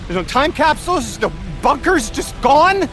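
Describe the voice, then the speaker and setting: A man's voice: very high voice, very animated, normal speed, a bit bright, heavily acted. A man voicing an earnest, anxious translator in a video game, speaking in a hesitant, stammering way. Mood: distraught. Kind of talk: naturalistic video game voice acting. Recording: music behind the voice. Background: music.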